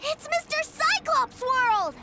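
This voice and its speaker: Very high-pitched excitable little boy. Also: slow pace, full character voice. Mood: excited. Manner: wide-eyed, eager, childlike. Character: excitable, trusting little boy, adoring younger brother. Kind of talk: video game voice acting. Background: game music.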